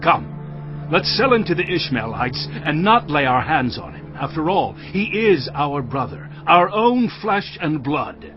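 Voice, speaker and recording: An actor playing a calculating shepherd brother, persuasive and emphatic, in a dramatized scripture reading. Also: male voice, fairly high pitch, very animated, unhurried. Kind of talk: dramatized scripture audio acting. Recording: dull audio over background music.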